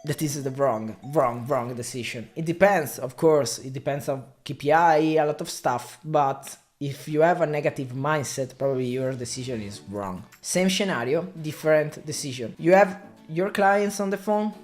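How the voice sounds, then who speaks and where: A man's voice: fairly high pitch, normal speed, faint music behind. A man from Italy with an Italian accent, a native Italian speaker talking casually to camera in non-native English, often stopping and restarting as he explains his work as a media buyer. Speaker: digital marketing media buyer.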